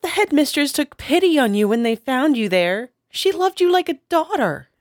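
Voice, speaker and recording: A man voicing a desperate young girl in a high, tearful, pleading falsetto. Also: very animated, normal speed, no room sound, clean studio sound. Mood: insistent.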